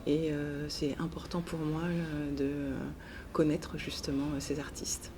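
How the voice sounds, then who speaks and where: Woman w lowish even voice giving interview answers, lots of hesitant pauses, noisy festival around her. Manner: hesitant, halting, frequent pauses. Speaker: interviewed author and teacher. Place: book festival. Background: clear ambient noise.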